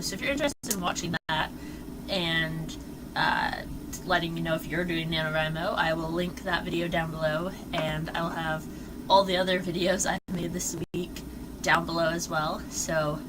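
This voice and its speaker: A young woman chatting casually about her month's reading for her vlog, rambling with lots of "like" and "um," her voice a little worn as she comes down with a cold. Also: mid-range voice. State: losing her voice, getting sick. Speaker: book vlogger. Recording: distant mic with clear background noise.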